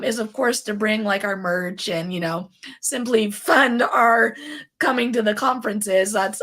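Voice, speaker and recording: A woman with a raspy voice, hosting a podcast live stream in a bubbly, chatty way with many fillers. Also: medium pitch, fairly bright tone. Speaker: podcast host. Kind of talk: casual live-stream chat.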